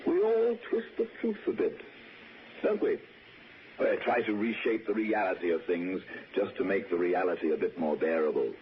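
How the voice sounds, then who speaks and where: An actor playing a gentle, wistful, courteous lord in 1960s radio drama, speaking with hesitant, softly persuasive politeness. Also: male voice, deep voice, big pitch swings, normal speed. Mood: gentle, pleading. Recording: dull, noisy 1960s radio recording.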